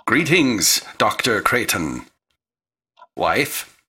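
A man's voice: lowish voice, sing-song, bright, forceful tone, slight background noise, full character voice. An actor in a radio drama playing an alien mind in a man's body, stiff, emotionless and pedantic, with oddly formal speech. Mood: stiff, blank. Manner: stilted, deliberate, oddly formal.

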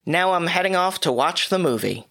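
A man hosting his own podcast, reading a scripted movie review with dry, wry asides, his voice a little hoarse from a cough. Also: high voice, expressive, moderate pace, pushed and bright. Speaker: podcast host.

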